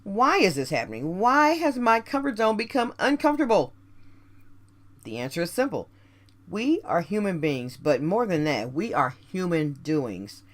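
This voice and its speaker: Expressive woman giving a motivational monologue. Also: on the low side. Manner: deliberate, emphatic phrasing with rhetorical questions. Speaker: radio show host. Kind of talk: scripted motivational monologue addressed to listeners.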